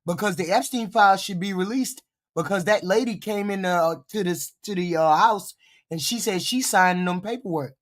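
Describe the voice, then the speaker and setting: A streamer giving blunt, profane live reaction commentary, with a voice deepened by a cold and short of breath. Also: moderate pace.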